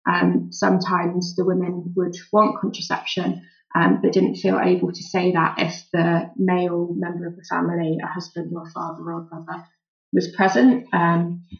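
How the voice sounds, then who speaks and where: A woman with a lowish voice and a gravelly creak, talking through her medical work with lots of pauses and "um"s. The audio is a bit dull and lo-fi. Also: level tone. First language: English. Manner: thoughtful, many "um" fillers. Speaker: volunteer doctor, guest. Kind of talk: relaxed interview answers.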